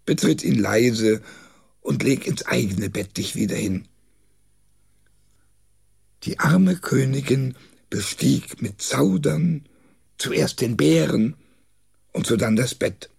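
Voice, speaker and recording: A man reading a rhymed comic poem for children aloud, expressively and in a deliberate storytelling manner. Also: medium pitch, vocal fry, a little mellow, breathy edge. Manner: measured, deliberate storytelling delivery.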